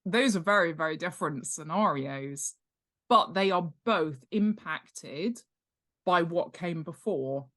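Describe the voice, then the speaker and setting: A woman's voice: lowish voice, slow. A middle-aged woman talking informally as an expert guest, explaining with emphasis and checking in with the listener. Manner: animated, emphatic, checks in with "right?" and "okay?". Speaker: guest author and world-building expert. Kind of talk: informal explanatory interview talk.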